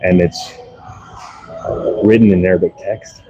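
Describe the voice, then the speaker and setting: A man's voice: low voice, steady tone, a little slow, mellow, slight background noise, low-quality recording. A man in his early forties casually talking viewers through his workout live, explaining exercises offhand as he goes. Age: early 40s. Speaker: fitness live streamer. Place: workout space.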